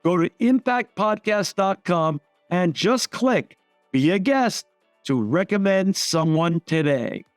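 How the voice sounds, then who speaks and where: A man's voice: high-pitched, animated, slow, no echo, soft background music. A middle-aged man hosting an interview podcast, reading the sponsor spots and welcoming his guest with enthusiasm. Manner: enthusiastic, effusive. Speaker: podcast host. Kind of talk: podcast hosting and read ads.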